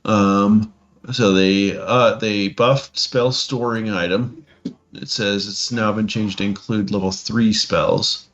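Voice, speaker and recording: A man's voice: lowish voice, fairly even intonation, slow pace, fairly bright tone, lo-fi sound. A man co-hosting a podcast, chatting casually about tabletop games in a hesitant, noncommittal way. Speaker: podcast co-host.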